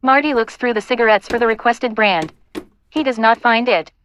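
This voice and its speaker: A woman's voice: on the high side, normal speed, a bit bright, clean tone, dry recording. A woman reading scripted narration and stage directions for an audio play.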